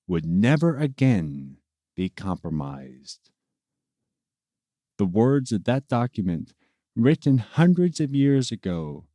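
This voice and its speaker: Male story narrator: relaxed, dark-toned delivery, slow and deliberate, with theatrical phrasing for scripted tales. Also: medium pitch, no echo, clean studio sound.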